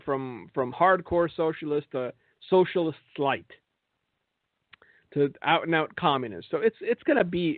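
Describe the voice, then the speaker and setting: Male religious broadcast host, slightly high voice, sardonic monologue with pointed rhetorical questions, thin phone-like sound. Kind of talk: political and religious monologue commentary. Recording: thin phone-like band, echoey room.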